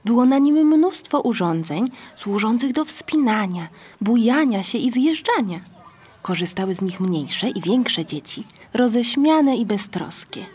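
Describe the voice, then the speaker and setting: A woman reading scripted narration for a children's story, with a husky, breathy voice. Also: fairly high pitch, animated, a little slow, darkish tone.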